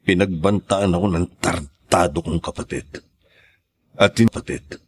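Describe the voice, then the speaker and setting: A man's voice: low-pitched, fairly even intonation, normal speed, a little hiss or hum, lightly acted. A man with a Filipino accent playing a scheming, hot-tempered politician in a radio drama, emphatic and theatrical. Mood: brooding, wary.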